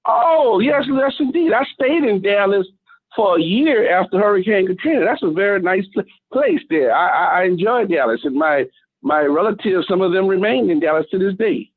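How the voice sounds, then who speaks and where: A man's voice: high-pitched, lively intonation, normal speed. An American man chatting casually over a phone line. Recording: tinny narrow phone line.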